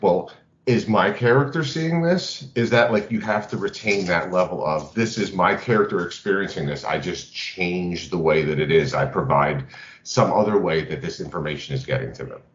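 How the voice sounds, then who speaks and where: A man with a low voice talks as a podcast co-host, reasoning through ideas in a casual, explanatory way. The sound is echoey and somewhat dull, as if in a roomy space. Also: expressive, moderate pace. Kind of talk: casual round-table chat about games. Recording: roomy echo, dull lo-fi audio.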